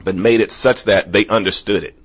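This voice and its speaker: Deep-voiced man telling rambling stories. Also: fairly even intonation, fairly quick, husky. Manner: rambling storyteller, many restarts. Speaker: stand-up comedian guest. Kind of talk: casual podcast chat.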